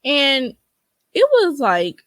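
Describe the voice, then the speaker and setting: A woman's voice: high voice, huge pitch swings, drawn-out words, no room sound. Candid young woman podcaster, close dry sound. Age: 20s (twenty-three). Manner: conversational, slangy, frank, swearing freely. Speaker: podcast host. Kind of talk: casual, candid solo podcast chat.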